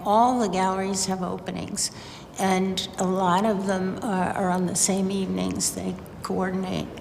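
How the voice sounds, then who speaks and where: A woman gives an unscripted interview at a slow pace. Her voice is creaky, and it rings in a long reverb with music behind. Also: mid-range voice, flat delivery, background noise. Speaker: gallery owner.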